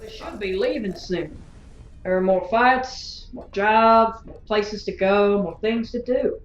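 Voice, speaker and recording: An actress playing a wry, blunt, independent space gunslinger in a science fiction audio drama. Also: female voice, mid-range voice, takes it slowly, reverberant, highs cut off, slight background noise, natural voice. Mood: wry, defiant.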